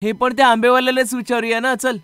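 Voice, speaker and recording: A man's voice: very high voice, little pitch movement, fast, fairly bright tone, smooth, no room sound, pitched above the performer's own voice. A man voicing an eager, curious and chatty young man in a horror story.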